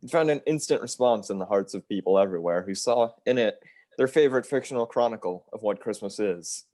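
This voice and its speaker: Guy being a old-time radio narrator, lowish voice, dramatic and measured, no room echo. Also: darkish tone, lightly acted. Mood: formal, welcoming. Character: grave, theatrical 1930s male radio presenter-storyteller. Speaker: narrator and presenter.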